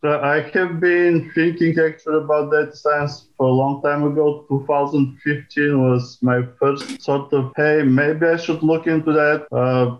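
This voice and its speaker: A middle-aged man with a Bulgarian accent, telling his own story casually and candidly, with halting, repeated words. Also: fairly high pitch, flat delivery, highs cut off. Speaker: guest, career changer seeking data science job. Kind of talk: casual spoken personal account.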